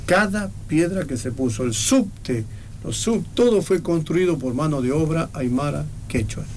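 A man's voice: a little high, expressive, measured pace, a little hiss or hum. Earnest Argentine man, radio guest. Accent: Argentine Spanish. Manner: earnest, persuasive, lists in rhythm. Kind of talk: casual radio interview.

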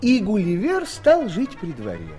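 An actor serving as a wry, expressive storyteller, narrating a scripted tale in an audio play. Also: male voice, high-pitched, measured pace, music in the background, heavily acted. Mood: wry, storytelling.

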